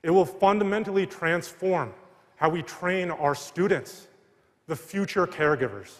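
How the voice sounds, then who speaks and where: A man in his thirties, a surgeon and founder, giving a measured, scripted keynote speech on a large arena stage with a headset mic. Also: sits high. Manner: measured, earnest delivery. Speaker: surgeon and medical tech founder. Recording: long hall reverb from arena.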